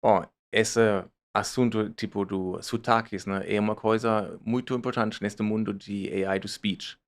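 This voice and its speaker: A man's voice: lowish voice, steady tone, unhurried. A man from Germany speaking with a German accent, a startup founder answering interview questions in a hesitant way, often repeating words and mixing in English. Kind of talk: casual interview talk.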